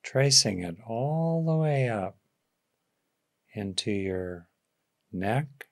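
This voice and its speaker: A man leading a guided meditation as a teacher, giving gentle instructions with long pauses between phrases. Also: mid-range voice, lively intonation.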